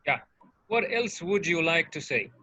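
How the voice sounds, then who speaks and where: A man's voice: fairly high pitch, animated, unhurried, pushed and bright. A man acting as interviewer, putting short questions. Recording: roomy, dull lo-fi sound.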